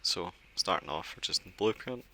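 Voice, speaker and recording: A man giving a relaxed step-by-step software setup walkthrough, explaining as he clicks through with occasional fillers. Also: low-pitched, moderate pace, a little hiss or hum, rough recording. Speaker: software tutorial presenter. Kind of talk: screen-recorded software walkthrough.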